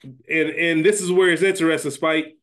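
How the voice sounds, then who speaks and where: A man's voice: a little high, fairly even intonation, normal speed, fairly bright tone. Slangy man bantering on a podcast. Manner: slangy, profane, thinking aloud and repeating himself. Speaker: podcast co-host. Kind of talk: casual sports-draft style banter about a TV series.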